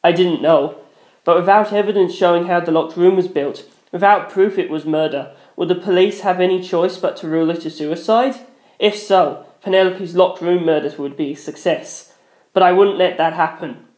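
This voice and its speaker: A man's voice: sits high, moderate pace, a little mellow. A man reading a first-person story aloud as a dramatic narrator. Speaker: audiobook-style narrator. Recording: roomy echo.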